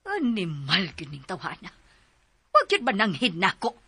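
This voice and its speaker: A woman's voice: mid-range voice, huge pitch swings, moderate pace, bright, forceful tone, slightly rough, no echo, full character voice. A woman with a Filipino accent playing an exasperated, worried mother and mistress of the house in a radio melodrama, emphatic and scolding. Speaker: radio drama actor. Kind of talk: melodramatic radio drama acting.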